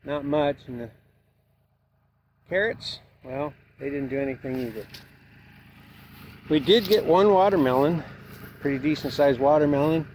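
A plain-spoken man with a slightly higher voice talks his way through a parched garden in a folksy, unhurried drawl. He is outdoors with a handheld camera, and a faint hum of outdoor noise sits under his voice. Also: a little mellow, low-quality recording. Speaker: homestead vlogger. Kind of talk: casual walk-through narration. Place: outdoors in a dry farm garden. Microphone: handheld camera carried while walking.

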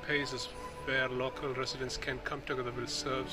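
Guy on a phone cam in an expo hall, reads the signs out slow with little slips, music going loud behind him. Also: medium pitch, level tone. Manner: reads slowly, pausing between phrases, with slips and restarts. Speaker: video host touring an exhibition. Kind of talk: reading display panels aloud while filming. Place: indoor exhibition hall. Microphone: handheld phone camera. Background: music playing.

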